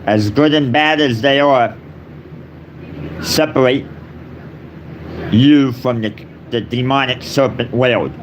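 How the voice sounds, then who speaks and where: A man delivering a solemn, improvised personal address in short, repetitive phrases with long pauses between them. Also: medium pitch, noisy background. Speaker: speaker giving a personal address.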